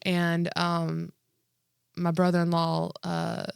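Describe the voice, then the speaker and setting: A woman's voice: fairly low pitch, completely flat delivery, slow, gravelly, clean tone, no echo, studio quality. A woman in her thirties co-hosting a podcast in a casual comedic chat, speaking candidly with lots of slang and frequent filler "like". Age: 30s. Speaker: podcast co-host.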